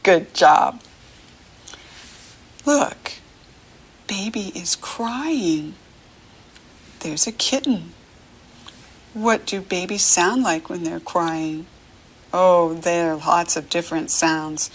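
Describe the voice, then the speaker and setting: An American woman teaching young children through a picture book, speaking to the child directly in simple, encouraging phrases with pauses. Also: fairly low pitch, a little hiss or hum.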